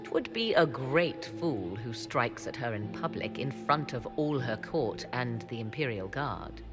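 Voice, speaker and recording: An actress playing a mysterious female witch advisor at court, speaking in an arch, archaic, measured way. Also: a bass voice, sing-song, heavily acted. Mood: knowing. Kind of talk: video game dialogue. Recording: game audio with music underneath.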